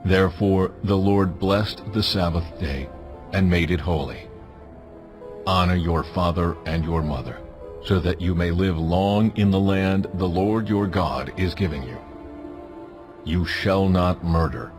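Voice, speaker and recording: A man playing a stern, majestic deity who gives solemn, authoritative commands in a dramatized scripture production. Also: sits low. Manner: solemn, authoritative, deliberate phrasing. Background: music.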